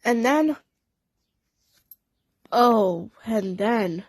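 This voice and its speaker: Female fan audio play narrator: high pitch, lively intonation, halting dramatic storytelling. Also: no room sound. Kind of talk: storytelling in character, first person.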